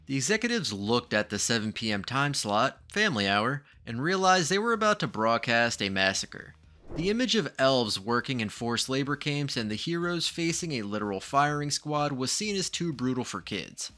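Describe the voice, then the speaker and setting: A man's voice: mid-range voice, very animated, moderate pace, pushed and bright. A man narrating a scripted video essay in a dramatic, ominous true-crime manner, posing rhetorical questions. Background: background music.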